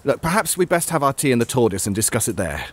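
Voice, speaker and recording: An actor playing a knowing, slightly fussy time-travelling show host in a scripted comic audio drama. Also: male voice, mid-range voice, sing-song, fast talker. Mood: knowing, ominous.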